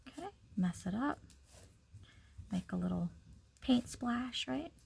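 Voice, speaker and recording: A woman's voice: a little high, animated, takes it slowly, vocal fry, a little mellow, breathy edge. A woman giving a casual step-by-step craft demonstration, informally talking through each action as she works. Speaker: craft tutorial instructor.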